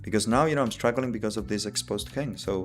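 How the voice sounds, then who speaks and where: Low-voiced Italian man chatting on a stream. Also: racing, faint music behind. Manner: thinking aloud, chatty, many fillers like "you know" and "like". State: tired. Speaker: chess streamer. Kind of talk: casual live-stream chat while playing.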